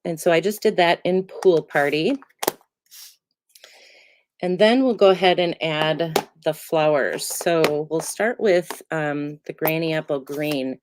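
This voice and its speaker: A middle-aged woman demonstrating card making, chatty and relaxed with many ums, still a little congested from a cold. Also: low voice, slow, background noise. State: congested, getting over a cold. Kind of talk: casual crafting tutorial.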